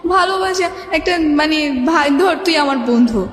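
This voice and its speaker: High-pitched young Bangladeshi woman in echo. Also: animated, measured pace. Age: young adult. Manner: rambling, often quotes remembered dialogue. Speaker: guest telling her life story. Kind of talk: informal personal storytelling. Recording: reverberant hall echo, music behind.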